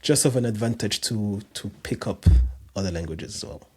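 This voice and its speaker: A man's voice: on the low side, normal speed, breathy edge, soft background music. A man in his thirties with a French accent, a personal trainer talking easily as a podcast guest with many restarts and fillers. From France and other countries. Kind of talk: casual podcast interview.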